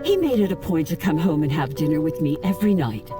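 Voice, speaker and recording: An actress voicing a proud older widow in mourning, curt and formal with sharp, indignant replies, in a video game. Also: female voice, low voice, sing-song, quick pace. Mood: guarded. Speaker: grieving widow of a politician. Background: game music.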